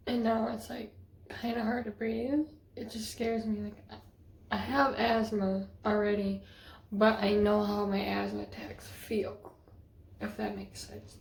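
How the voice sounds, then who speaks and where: Woman vlogger, mellow fry-heavy voice, hesitant rambling diary talk. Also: mid-range voice. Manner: hesitant, rambling, many fillers. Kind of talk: casual video diary.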